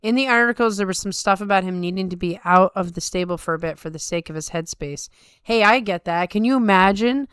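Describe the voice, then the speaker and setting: A woman's voice: medium pitch, fairly quick, fairly bright tone, clear, resonant tone, no echo, clean studio sound. A middle-aged woman co-hosting a sports talk show, giving casual, emphatic and colloquial commentary. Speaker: sumo talk show co-host. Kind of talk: casual chat with opinionated commentary.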